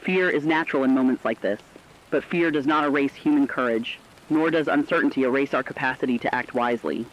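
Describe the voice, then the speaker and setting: A dull, band-limited recording carries an earnest radio correspondent acted by a man at a middling pitch. The delivery is formal and deliberate, a scripted emergency broadcast read with care. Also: close to an everyday voice. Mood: solemn, hopeful. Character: earnest radio correspondent in a world of failing technology. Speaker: broadcast co-anchor.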